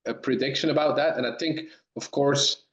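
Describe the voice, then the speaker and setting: A man from Belgium, a dairy science professor, answering webinar questions in halting speech with many restarts over a remote call. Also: medium pitch, fairly bright tone. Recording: roomy, dull lo-fi sound.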